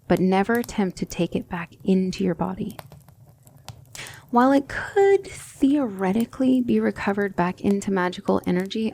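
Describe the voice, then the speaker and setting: A woman playing a gentle, patient demon tutor in an ASMR roleplay, speaking in measured, formal instruction that often drops toward a whisper. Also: mid-range voice, slight background noise, close to an everyday voice. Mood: cautioning, patient. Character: gentle, patient demon tutor guiding a magic student, female.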